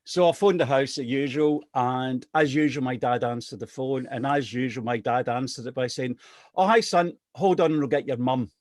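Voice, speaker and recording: A middle-aged man from Scotland tells a story in a chatty, self-deprecating way. His voice sits at an ordinary pitch and sounds close and dry, with no room around it. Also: moderate pace, no room sound. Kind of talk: casual anecdote telling.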